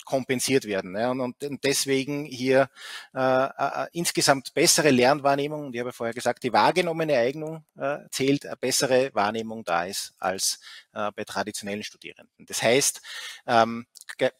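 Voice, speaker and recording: Man, middle-aged, keynote lecture, hesitant, fillers, average pitch, dry office sound, headset mic. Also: moderate pace, dry recording. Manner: hesitant, many fillers and restarts. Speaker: keynote speaker. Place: office, indoors. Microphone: headset, video call.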